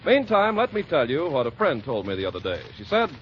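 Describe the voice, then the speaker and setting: A man announces in scripted 1940s radio advertising style. His delivery is polished and emphatic, with a lively melody. The vintage broadcast is slightly dull, with faint noise. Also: medium pitch, a little fast.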